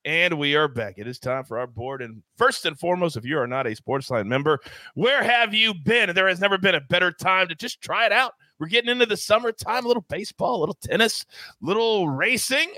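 Bright, hyped-up sports betting host. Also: male voice, animated, a little fast, dry recording. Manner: hyped, showy, playful swings in pitch. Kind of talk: sports betting show hosting.

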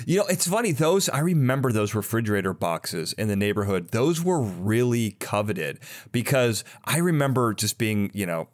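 A man's voice: on the low side, big pitch swings, normal speed, few pauses. A man hosting an interview podcast, effusive and conversational, with a theatrical flourish. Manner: effusive, theatrical list-like delivery in introductions. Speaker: podcast host. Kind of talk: upbeat conversational interview show.

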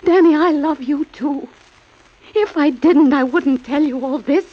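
An actress playing a scheming young woman begging her lover in a 1940s radio drama, pleading and breathy. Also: high-pitched, measured pace. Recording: dull old radio recording.

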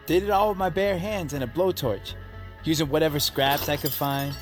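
An actor playing a modest, easygoing young artist in an audio drama, casual and self-effacing. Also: male voice, on the high side, moderate pace, music in the background, natural voice. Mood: unassuming. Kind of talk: naturalistic audio fiction acting.

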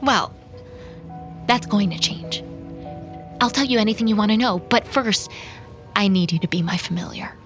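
A young woman playing an intense, needy vampire in an audio drama, speaking deliberately and pleadingly. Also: medium pitch, a bit bright, faint music behind, lightly acted. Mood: insistent. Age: young adult. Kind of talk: naturalistic audio drama acting.

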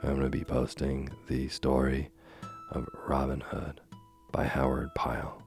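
Very deep, gravelly man losing his voice. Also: monotone, measured pace, soft background music. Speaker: podcast host reading bedtime stories. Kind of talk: slow soothing bedtime talk.

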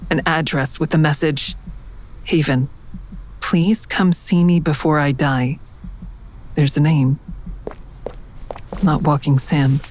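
A haunted female investigator narrating an audio drama. Her voice is low, terse and confiding, tense and wary, over faint ambient noise. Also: steady tone, slow, long pauses, a little mellow, low-quality recording. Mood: shaken, wary.

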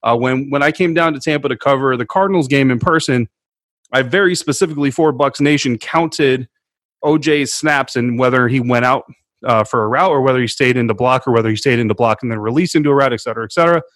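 Dude cohosting a sports podcast, explains stuff conversationally, average pitch, no room echo. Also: male voice, quick pace, a bit bright.

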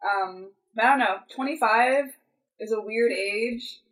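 A woman's voice: a little high, measured pace, a bit bright. A woman in her twenties co-hosting a podcast in casual chat, rambling with lots of "like" and "um". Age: 20s. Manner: rambling, full of "like" and "um". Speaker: podcast co-host.

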